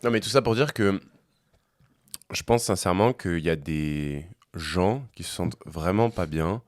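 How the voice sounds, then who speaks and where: Deep-voiced man with a cold, hesitant. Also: expressive, unhurried, no room sound, studio quality. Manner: hesitant, many fillers and restarts. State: has a cold. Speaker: podcast co-host. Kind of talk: casual chat over a meal.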